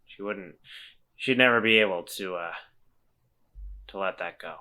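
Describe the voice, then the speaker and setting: A man playing a worried, gentle friend who speaks haltingly and stammers as he tries to reassure. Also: deep voice. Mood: worried, reassuring. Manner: halting, stammering, repeats words.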